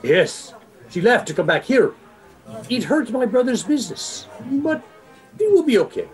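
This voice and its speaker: An actor playing a retired, gossipy nightclub regular, speaking in a deliberate, knowing way. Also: male voice, very high voice, big pitch swings, faint music behind, heavily acted. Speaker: actor in community theatre radio play. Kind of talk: theatrical radio play dialogue.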